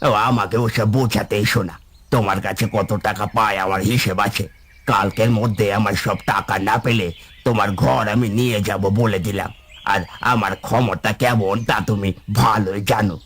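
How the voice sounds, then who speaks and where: A man's voice: fairly low pitch, fairly even intonation, moderate pace, fairly bright tone. A man playing a threatening, overbearing village moneylender in a cartoon, bullying and accusatory. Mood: menacing. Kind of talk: cartoon voice acting.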